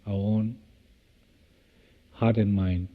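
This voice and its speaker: A man guides a meditation in a lowish, husky voice. He moves in measured short phrases with long pauses between them. The recording is dull and muffled. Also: level tone, in a large hall. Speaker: meditation teacher.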